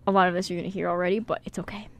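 A woman's voice: on the low side, flat delivery, rapid-fire, dry recording. A woman hosting a radio show, talking in a casual, jokey, informal way. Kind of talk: casual radio chat and reading a synopsis aloud.

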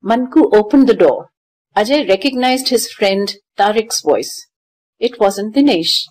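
A woman's voice: medium pitch, lively intonation, unhurried, darkish tone, rough recording. A woman narrating a scripted children's story.

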